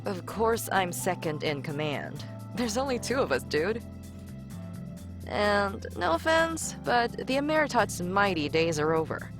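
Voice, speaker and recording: A sassy female alien spaceship mechanic, acted by a woman in a visual novel. She is blunt and playful with a lively, swinging delivery, and game music plays clearly behind her. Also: mid-range voice, a little slow. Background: video game music.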